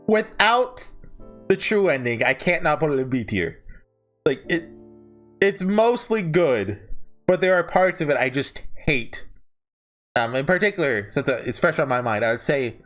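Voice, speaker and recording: A man's voice: fairly high pitch, fairly bright tone. A man giving casual, unscripted ranking commentary as a reviewer, rambling through his opinions and often correcting himself mid-thought. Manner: rambling, opinionated, often self-correcting and seeking agreement with "right?". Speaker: visual novel reviewer.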